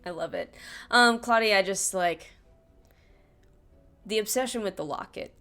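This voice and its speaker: A woman's voice: mid-range voice, a little slow, bright, forceful tone, faint music behind. A woman hosting a podcast, chatting casually about a tabletop game campaign. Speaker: podcast host.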